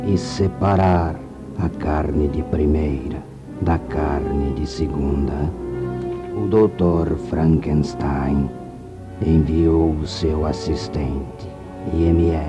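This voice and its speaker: An actor playing a grave, solemn narrator in a mock-horror radio play. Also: male voice, extremely low pitch. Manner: solemn, deliberate.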